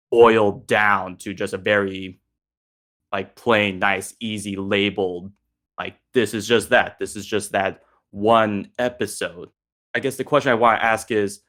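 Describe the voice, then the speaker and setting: A man's voice: on the low side, a bit bright. A man hosting a discussion in a casual, conversational way, building loosely toward a question. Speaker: discussion host.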